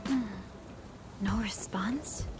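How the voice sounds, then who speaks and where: An actress playing a composed, vigilant female team leader in a sci-fi game, with a measured, authoritative manner. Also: mid-range voice, music in the background. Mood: calm, measured. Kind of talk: video game voice acting.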